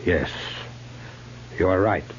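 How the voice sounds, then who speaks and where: An actor playing a grave, weary old Sioux chief who speaks in solemn, measured, terse phrases in a 1950s radio drama. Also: male voice, sits low. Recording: muffled, hissy 1950s radio recording.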